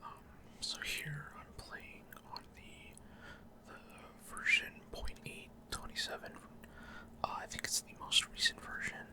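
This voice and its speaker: Male ASMR gaming host, whispered throughout, soft and unvoiced, halting commentary with frequent mid-sentence pauses.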